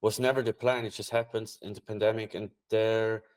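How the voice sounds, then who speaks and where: A man's voice: fairly low pitch, flat delivery, brisk, a little mellow. A man from Switzerland whose first language is Swiss German, speaking English with a Swiss German accent as a guest on an interview podcast, plain and matter-of-fact. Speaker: trader and guest. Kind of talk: casual interview chat.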